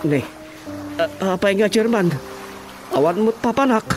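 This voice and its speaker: A man's voice: high voice, animated, unhurried, over music. A man playing a flustered, stammering village councilman in a radio comedy drama, with a Filipino accent.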